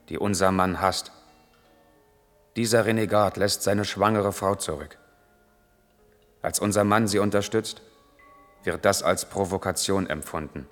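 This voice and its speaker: A torn, evasive intellectual with a deep voice. He speaks deliberately and seriously in 1980s radio drama style, in a long reverberant space with music in the mix. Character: brooding male lover, an East German intellectual, torn and evasive. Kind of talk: 1980s German radio play acting, measured narration and intimate dialogue.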